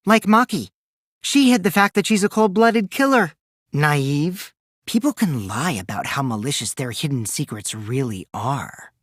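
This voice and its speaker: A man's voice: fairly high pitch, fairly bright tone, no room sound, clean studio sound, exaggerated voice. An actor playing a mischievous, taunting teenage boy in a video game, theatrical and teasing, with a youthful boyish voice that can turn from cutesy to cold. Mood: sly, probing. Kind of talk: animated game dialogue in a murder-mystery trial.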